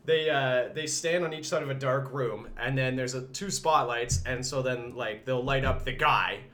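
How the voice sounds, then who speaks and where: A man's voice: medium pitch, moderate pace, fairly bright tone. Enthusiastic man telling a story. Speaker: podcast guest. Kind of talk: casual chat.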